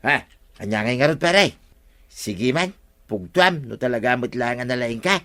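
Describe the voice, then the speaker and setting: Casting: nosy, whiny Ilocano barangay fellow, sharp forceful voice, exclamatory, radio comedy. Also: male voice, mid-range voice, expressive, normal speed. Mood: teasing. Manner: exclamatory, groaning interjections.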